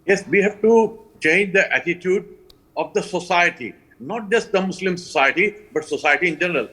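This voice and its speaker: Bright, husky older Indian man. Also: high-pitched, moderate pace, rough recording. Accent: Indian English. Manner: earnest, emphatic, repeats key phrases. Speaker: panel guest, alliance co-founder. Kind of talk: live TV panel interview.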